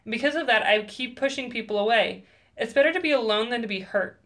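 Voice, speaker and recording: A woman's voice: fairly high pitch, fast, a bit bright, close to an everyday voice. A woman playing a bitter, lonely teenage girl in an audio drama, emotional and defensive.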